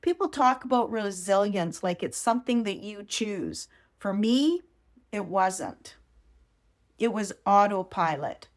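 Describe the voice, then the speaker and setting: A woman hosting a podcast, giving a reflective personal monologue in short, deliberate, measured phrases. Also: mid-range voice, expressive. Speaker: podcast host.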